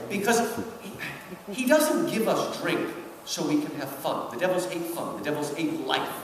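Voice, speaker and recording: Male lecturer, emphatic and high-voiced, recorded from far off in a very echoey hall. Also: slight background noise. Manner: emphatic, talkative, punctuates points with questions. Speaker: lecturer giving commentary on a literary work. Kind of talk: animated public lecture with quoted readings. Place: large hall at a public event.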